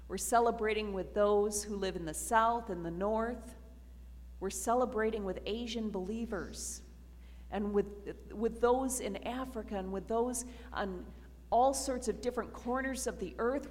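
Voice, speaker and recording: A woman pastor giving a slow, earnest communion sermon to her congregation in a church. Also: medium pitch, darkish tone. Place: church sanctuary. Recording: reverberant church hall.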